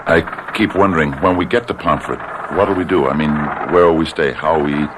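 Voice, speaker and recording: An actor playing a weary, sickly, timid husband worn down by work, halting and gravelly, in an old radio mystery drama. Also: male voice, extremely low pitch. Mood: worried. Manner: hesitant, halting, defeated. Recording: noisy old radio recording.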